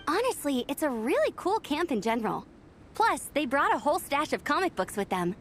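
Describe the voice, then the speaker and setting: A woman's voice: high-pitched, very animated, a little fast, a little hiss or hum. A woman voicing a friendly, chatty young female knight who helps organize an event, acted for a game. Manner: chatty and upbeat. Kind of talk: game voice acting.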